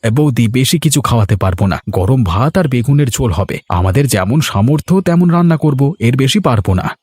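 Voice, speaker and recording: A man voicing an excitable, proud middle-aged village father in a moral-story cartoon, with a folksy, exclamatory manner. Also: mid-range voice, fairly quick, slightly rough, no room sound. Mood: friendly, earnest.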